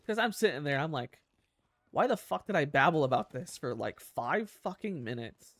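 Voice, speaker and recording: A man's voice: a little high, lively intonation, normal speed, a bit bright, dry recording, studio quality. A man with a nasal voice, rambling in casual commentary over gameplay as a gaming YouTuber, swearing often and laughing at himself.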